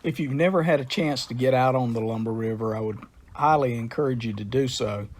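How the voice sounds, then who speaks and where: A man giving an unhurried, informal talk about a river's history and how to visit it, pausing often with "uh.". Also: mid-range voice. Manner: unhurried, with frequent "uh" pauses.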